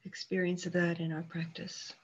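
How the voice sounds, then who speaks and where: A woman meditation teacher leading a guided meditation calmly and unhurriedly, leaving long pauses between short phrases. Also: fairly low pitch, breathy edge, highs cut off.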